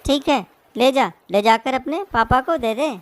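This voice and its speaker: A woman's voice: high voice, a little slow, dry recording, studio quality. A woman voicing a cruel, scolding bird mother in a moral-story cartoon. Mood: angry, threatening. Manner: scolding, harsh.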